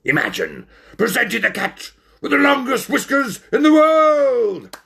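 Man playing a bombastic, villainous circus ringmaster, swooping pitch. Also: wildly swooping pitch, slow pace, sharp tone, slightly rough, heavily acted. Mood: greedily intrigued. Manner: bellowing, grandiose, barking. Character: short, round, bombastic villainous male circus ringmaster, quick-tempered.

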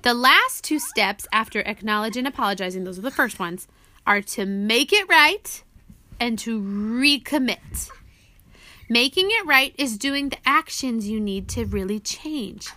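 A woman with a high, bright voice reads her book aloud as a parent would to a child. She is instructive and often asks leading questions. The recording is close and dry. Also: animated, unhurried, no room sound. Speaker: author reading own book aloud and parent. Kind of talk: audiobook narration mixed with teaching a child.

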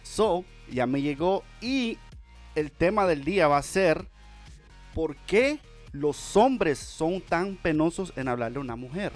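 A man hosting a casual Spanish-language radio podcast, talking with energetic, repetitive, playful hype. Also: on the high side, takes it slowly, soft background music. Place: home studio in Las Vegas.

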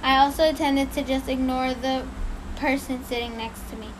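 A woman's voice: high voice, steady tone, moderate pace, background noise. A woman co-hosting a casual chat who reads a story aloud, stumbling and apologizing and laughing at her slips. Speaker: podcast co-host reading a story.

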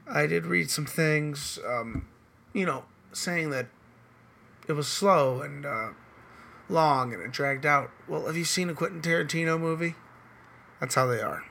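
A hoarse man hosting a podcast in loose, rambling casual chat, swearing now and then. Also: medium pitch, bright, forceful tone, slight background noise. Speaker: podcast host.

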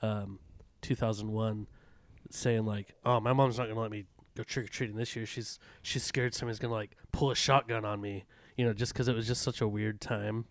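Man, cold-roughened voice, casual chat, rambling, dry recording. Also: lowish voice, fairly even intonation, a little fast, dry recording. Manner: rambling, self-interrupting, jokey. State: getting over a cold, raspy. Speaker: podcast co-host. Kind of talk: casual chat about movies.

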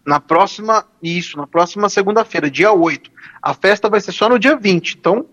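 Higher-voiced law professor, lo-fi sound. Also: male voice, lively intonation, fairly quick, fairly bright tone. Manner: informal, hesitant restarts. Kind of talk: casual radio chat.

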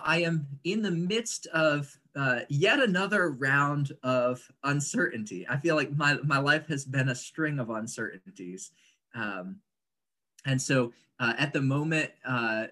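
A man in his thirties with a mid-pitched voice speaks as an interview guest. He is reflective and articulate. He often pauses partway through a sentence to choose the right word. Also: pushed and bright. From Indonesia, grew up there as an American third culture kid. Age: 30s.

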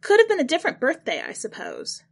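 A woman voicing a chatty, gossipy small-town realtor cousin in a story reading, telling tales in an animated way. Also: sits high, quick pace, creaky, pitched above the performer's own voice, full character voice. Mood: flustered. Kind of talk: acted dialogue in a short story reading.

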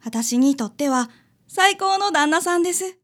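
Woman acting a high-voiced, modest young wife. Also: expressive, unhurried. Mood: tender. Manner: earnest, self-effacing. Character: modest, insecure young newlywed wife, sincerely loving. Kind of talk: radio drama acting.

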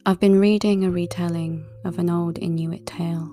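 A woman and tarot reader speaking a calm, soft-spoken monologue in a relaxing, breathy half-whisper. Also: on the low side, normal speed. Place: outdoors in a wooded area. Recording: clear guitar music underneath. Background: guitar music.

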